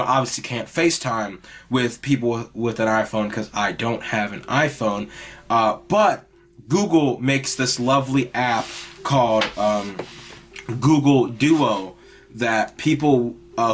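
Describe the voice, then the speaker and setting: A young man hosting his own podcast, talking in a loose, rambling way with lots of "like" and "you know", in a raspy, mostly monotone voice. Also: mid-range voice, slight background noise. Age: young adult. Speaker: podcast host. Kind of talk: casual rambling monologue.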